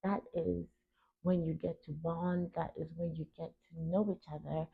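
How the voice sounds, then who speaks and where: Phone-like recording of a gravelly, earnest woman. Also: deep voice, little pitch movement. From Cameroon. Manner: earnest, emphatic, pausing between short phrases. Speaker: podcast host. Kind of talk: reflective personal talk. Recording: tinny phone-like narrow band.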